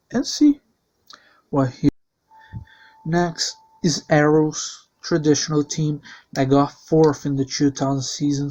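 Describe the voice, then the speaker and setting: A man whose first language is Portuguese, hosting a league channel with a voice-over season preview. He delivers a plain, steady recap in non-native English phrasing. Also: on the high side, lo-fi sound.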